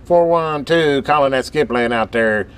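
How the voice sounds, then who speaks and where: A man's voice: mid-range voice, normal speed, clean tone. An American man chatting casually and hands-on as a radio hobbyist, in a folksy, rambling way as he thinks aloud. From United States. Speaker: radio hobbyist presenting on his channel. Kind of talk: casual hands-on video chat. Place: workbench with radio gear. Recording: steady background noise.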